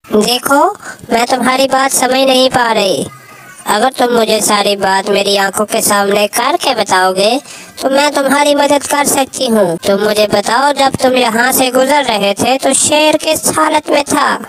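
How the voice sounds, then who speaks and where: A woman's voice: fairly high pitch, steady tone, unhurried, natural voice, low-quality recording. A woman playing a clever, composed fox in a children's fable, questioning and instructing. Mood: feigned puzzlement. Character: clever, composed female fox helping a man. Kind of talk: children's animal fable dialogue.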